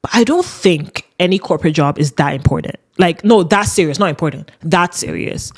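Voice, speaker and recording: A young adult woman with a deep voice, hosting a podcast and ranting casually with laughs. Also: expressive, brisk. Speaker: podcast host. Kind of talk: casual solo rant.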